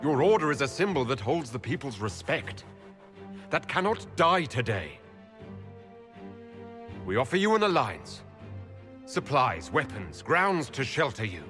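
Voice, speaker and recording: A man's voice: mid-range voice, big pitch swings, measured pace, pauses often, sharp tone, faint music behind. A man playing a resolute, diplomatic elven leader in a video game, speaking with earnest assurance. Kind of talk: video game cutscene acting.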